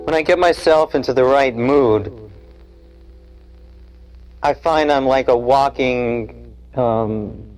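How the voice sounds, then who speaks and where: Man, forties, slow unhurried delivery, medium pitch, poet-musician, faint music underneath. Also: darkish tone, rough recording. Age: 40s. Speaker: poet, musician and cable show producer. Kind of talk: poetry recital and interview talk. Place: equipment-packed studio.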